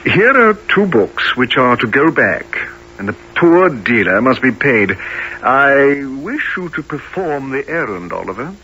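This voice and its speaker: An actor in a radio play playing a kindly, proper older gentleman, speaking formally and precisely in a husky voice. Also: mid-range voice, very animated, unhurried, slight background noise, exaggerated voice. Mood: businesslike, trusting. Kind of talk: family radio play acting. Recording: muffled lo-fi radio sound.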